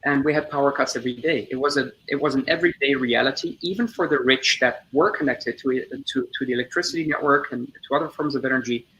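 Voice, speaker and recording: A man's voice: mid-range voice, pushed and bright, rough recording. A man in his forties, born in the Netherlands, telling his story and explaining a point step by step as a guest on a video-call webinar. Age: 40s. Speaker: guest, social entrepreneur.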